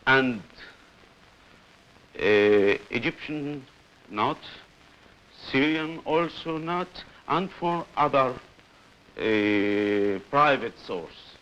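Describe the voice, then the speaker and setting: A man giving interview testimony in halting, broken English fragments, on dull old newsreel sound. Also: medium pitch, animated, fairly bright tone. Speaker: anonymous interviewee, former militant official.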